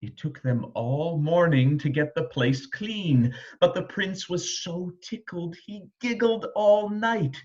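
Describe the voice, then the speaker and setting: A man reading a rhyming children's story aloud in a rhythmic, expressive way. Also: a little high, slow, few pauses, muffled recording. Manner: rhythmic, animated reading of rhyme. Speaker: storybook reader.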